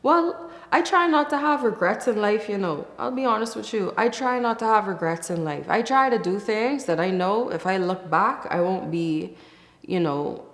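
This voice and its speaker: A woman from the Bahamas giving casual, reflective interview answers in a thoughtful, candid way, with pauses as she thinks. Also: medium pitch, expressive. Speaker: interview guest, musician. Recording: echoey, reverberant room.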